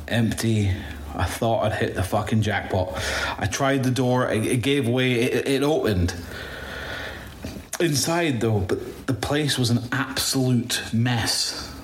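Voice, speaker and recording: A man playing a shaken, bitter apocalypse survivor in an audio drama monologue, halting, stammering and swearing as he recounts his ordeal. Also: mid-range voice, close to an everyday voice. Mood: weary, halting, then haunted, tense. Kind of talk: first-person audio drama monologue, confessional. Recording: roomy echo, faint background noise.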